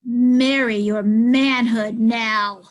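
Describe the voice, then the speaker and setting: A woman's voice: on the high side, fairly even intonation, very slow. A woman playing a scornful, scheming noblewoman in a Shakespearean play reading, with biting, declamatory verse delivery. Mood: scornful, mocking. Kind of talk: Shakespearean reader's theatre.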